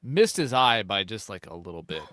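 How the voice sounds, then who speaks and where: Guy joking around on a hunting stream, lots of pitch swing. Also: big pitch swings, moderate pace, a bit bright, no room sound, studio quality. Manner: joking, rambling storyteller. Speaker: podcast co-host. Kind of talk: casual chat.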